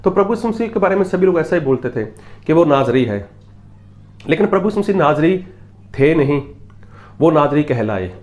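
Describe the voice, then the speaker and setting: A preacher from Punjab speaks with a fairly high-pitched man's voice. He teaches by repeating his points and asking rhetorical questions, and he reads Bible passages aloud in an emphatic sermon style. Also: sing-song, moderate pace, pauses often, a little mellow. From Punjab, India. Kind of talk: Hindi sermon with Bible readings.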